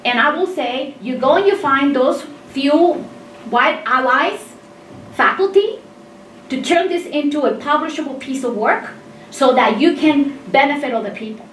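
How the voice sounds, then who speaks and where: A woman in her fifties from Mexico speaks up from the audience of a seminar, emphatic and insistent, driving her points home. Her voice sits a little high and reaches the camera from a distance. The room gives it a roomy echo with a faint hiss behind. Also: lively intonation, moderate pace, a bit bright. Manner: emphatic, insistent, gestures while talking. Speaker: engineering faculty member in audience. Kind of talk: impassioned audience comment in discussion. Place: seminar room with tables, indoors. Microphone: far room camera mic.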